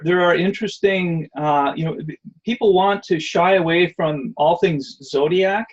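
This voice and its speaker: Rambling man on a dull call line. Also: fairly high pitch. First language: not Hebrew. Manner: rambling, many fillers and restarts. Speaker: presenter. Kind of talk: online presentation with Q&A.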